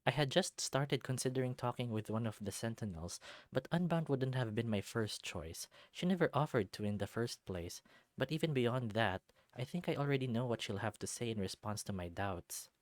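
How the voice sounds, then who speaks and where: A man's voice: mid-range voice, expressive, normal speed, no room sound, close to an everyday voice. A man playing an anxious, unsure male college student who narrates his thoughts hesitantly and informally in a visual novel. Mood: uneasy.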